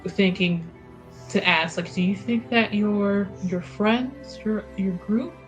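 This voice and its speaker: A woman's voice: medium pitch, takes it slowly, dull audio, faint music behind. Woman thinking aloud at a game table. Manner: thinking aloud, hesitant restarts. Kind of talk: planning chat at a game table.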